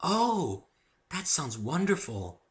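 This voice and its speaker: A man voicing a shy, gentle young female winged pony, timid and hesitant, in a story reading. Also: on the high side, sing-song, slow, voice pushed up. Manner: timid, hesitant, soft-spoken.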